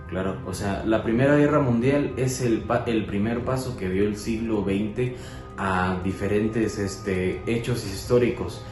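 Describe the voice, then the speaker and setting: A man explaining history as co-host in a casual educational chat, with many fillers and restarts. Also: lowish voice. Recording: echoey room with music.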